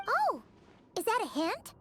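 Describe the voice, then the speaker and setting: Very high, squeaky sprite girl. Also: huge pitch swings, unhurried, over music, exaggerated voice. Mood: excited, surprised. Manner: exclamatory, chatty. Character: small silvery sprite girl companion, perky and inquisitive. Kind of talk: video game character acting.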